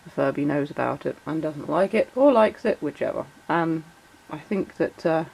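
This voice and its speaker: A woman with a croaky voice and a sore throat from a cold, chatting casually as she explains toy features in a vlog. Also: low-pitched, expressive, moderate pace, slight background noise, poor audio. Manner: chatty, many fillers, reads out shout-outs. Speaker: toy collector vlogger.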